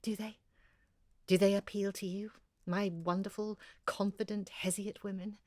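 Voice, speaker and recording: A woman's voice: medium pitch, flat delivery, normal speed, no echo, lightly acted. A woman playing an earnest, chatty amateur researcher in an audio drama, confiding and effusive as she talks. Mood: coaxing. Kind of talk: conversational audio drama monologue.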